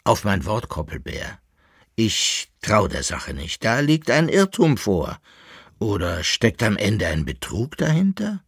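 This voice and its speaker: A man voicing a wry, evasive fiddler who hesitates and feigns calm, in an audiobook story. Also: on the low side, slow, slightly rough, dry recording, clean studio sound, full character voice. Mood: feigned calm. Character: wry, evasive, forgetful male fiddler, resigned yet cheerful.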